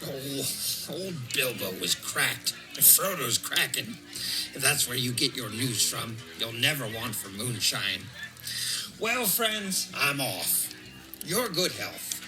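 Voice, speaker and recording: Stubborn pub sceptic hobbit male actor, dismissive, slow and very animated, audio drama over music. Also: mid-range voice, poor audio. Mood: jovial. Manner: mocking, dismissive, drawn-out. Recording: loud music behind.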